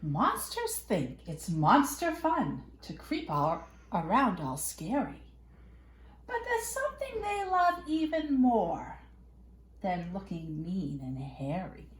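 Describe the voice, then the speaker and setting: Animated woman storyteller in an echoey room. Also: medium pitch, takes it slowly. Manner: animated, playful, dramatic emphasis. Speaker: children's storyteller. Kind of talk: expressive picture-book read-aloud for young children.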